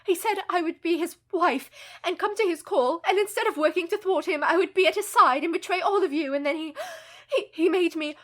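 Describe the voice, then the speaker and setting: Woman acting a high-voiced, intense young wife. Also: brisk, a bit bright. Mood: anguished. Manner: intense, earnest, emotive. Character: distraught young wife, brave, victim of a vampire. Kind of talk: radio play dramatic acting.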